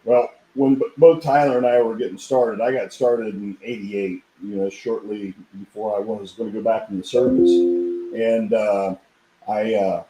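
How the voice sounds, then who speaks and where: A hoarse middle-aged man telling stories in a rambling, casual podcast chat. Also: on the low side, mellow, roomy sound, a little hiss or hum, rough recording. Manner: rambling, storytelling. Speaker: podcast guest, equipment designer.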